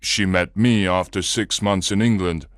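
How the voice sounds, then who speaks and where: A man's voice: low-pitched, fairly even intonation, unhurried, dry recording, clean studio sound. A man voicing an upset, earnest married businessman who tells his story anxiously in a storybook reading. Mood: earnest, troubled.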